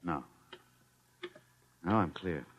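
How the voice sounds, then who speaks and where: An actor in an old radio drama playing a protective husband on the run, urgent and resolute, on an old recording. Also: male voice, low voice, lively intonation, extremely slow, slightly rough, dull audio, heavily acted. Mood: weary, soothing.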